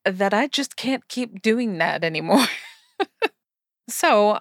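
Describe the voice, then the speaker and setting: A woman's voice: lowish voice, a bit bright, no room sound, studio quality. A woman reading a prepared introduction as the host of a podcast.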